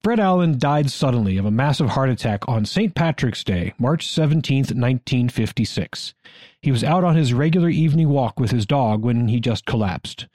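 A man's voice: medium pitch, warm tone, no echo. A man with an American accent co-hosting a history podcast, reading scripted narration. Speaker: podcast co-host.

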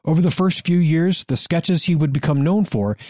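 A man's voice: fairly high pitch, moderate pace, dark tone, no echo, clean studio sound. A man co-hosting a podcast, telling history in a scripted but conversational style. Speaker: podcast co-host.

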